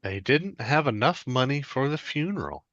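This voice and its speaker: Man reading as an old lady, bright and lively. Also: mid-range voice, moderate pace, no room sound, dull audio. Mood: matter-of-fact. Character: chatty elderly woman reassured by robot insurance. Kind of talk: sketch comedy table read.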